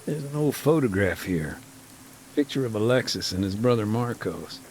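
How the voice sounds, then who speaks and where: An actor playing a weary, earnest humanitarian worker recounting a war in a measured, hesitant way for a video game. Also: male voice, on the low side, expressive, darkish tone, a little hiss or hum, natural voice. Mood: measured, matter-of-fact. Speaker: humanitarian aid worker being interviewed. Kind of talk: naturalistic video game dialogue, interview recollection.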